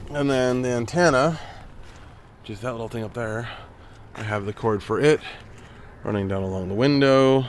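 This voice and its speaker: A man's voice: mid-range voice, moderate pace, pauses often. A man giving a casual, plainspoken DIY walkthrough from inside a truck cab, grumbling mildly as he points things out. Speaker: vlogger showing a DIY truck install. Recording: handheld camera audio with faint vehicle noise.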